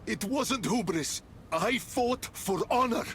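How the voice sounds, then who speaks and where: A solemn, honorable Spartan warrior for a video game. His voice is gravelly and swings expressively in pitch, proud yet troubled, over a faint rumbling noise. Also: lively intonation, takes it slowly, fairly bright tone, full character voice. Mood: defiant. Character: proud dead Spartan general, wounded in spirit, honorable. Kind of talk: dramatic video game acting.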